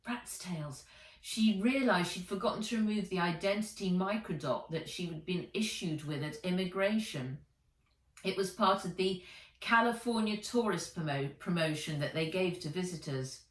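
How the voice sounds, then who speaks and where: A woman author reading her children's book aloud as a storyteller, in measured, expressive narration. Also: lowish voice. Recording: roomy echo, distant microphone.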